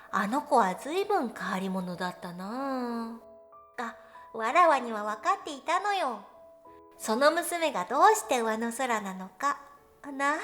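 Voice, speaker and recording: Woman voicing a haughty high-voiced ancient cat goddess. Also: a bit bright, exaggerated voice. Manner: haughty, archaic old-fashioned lordly speech. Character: proud thousand-year-old female cat god, grumbling but fond. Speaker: virtual streamer in character. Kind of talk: dramatic first-person storytelling monologue. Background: music.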